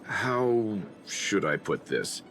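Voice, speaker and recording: An actor voicing a middle-aged male investigator in a video game, courteous, measured and a little hesitant. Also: fairly low pitch, sing-song, a bit bright, a little hiss or hum, exaggerated voice. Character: reserved, polite older male investigator.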